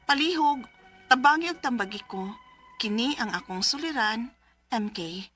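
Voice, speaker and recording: Troubled mom, plaintive, cebuano accent, bright high voice, radio drama letter. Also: female voice, lively intonation, a little slow, soft background music. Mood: troubled, pleading. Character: troubled mother writing for legal advice.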